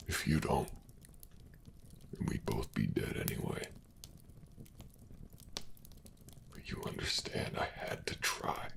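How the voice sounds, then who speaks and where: An actor playing a weary, dying miner in a fantasy audio drama, pleading in a weak, halting, breathy half-whisper. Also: male voice, very deep voice, heavily acted. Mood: desperate pleading. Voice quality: gravelly, breathy rasp.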